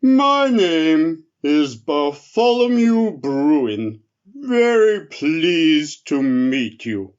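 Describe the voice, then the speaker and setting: A man voicing a huge, sleepy, friendly brown bear in a children's storybook, speaking with slow, drawling politeness. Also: a little high, sing-song, smooth, highs cut off, full character voice. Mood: pleased, polite.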